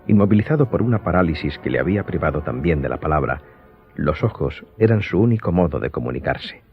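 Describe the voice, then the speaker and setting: A man's voice: very deep voice, lively intonation, quick pace, slightly rough. A man narrating a scripted radio drama as the storyteller. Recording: slightly dull audio, music behind.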